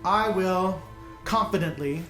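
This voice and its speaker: A man with a fairly high voice speaks as a player in a tabletop game, casually saying what his character does and thinking aloud. The sound is roomy and echoing, with music clearly playing behind him. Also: slow. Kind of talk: casual first-person roleplay. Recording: roomy echo under loud music.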